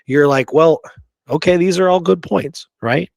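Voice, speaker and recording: A man's voice: on the high side, unhurried, gravelly, a little mellow, no echo, studio quality. A man co-hosting a history discussion, talking conversationally and emphatically, drawing out key phrases and asking rhetorical questions. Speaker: history show co-host. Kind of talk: conversational debunking discussion of an old photograph.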